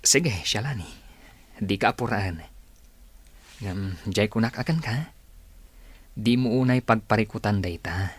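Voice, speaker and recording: Low-voiced aggrieved young recruiter played by a man. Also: measured pace, long pauses, slightly rough. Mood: persuasive. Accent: Ilocano. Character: aggrieved, vengeful young male recruiter for a group. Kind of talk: Ilocano radio drama acting.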